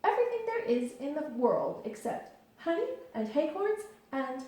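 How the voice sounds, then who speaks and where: Brief: woman voicing an excitable storybook tiger, squeaky high and loud. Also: moderate pace. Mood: excited. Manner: loud, high, exuberant bursts. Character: bouncy, excitable young male tiger. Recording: very echoey room.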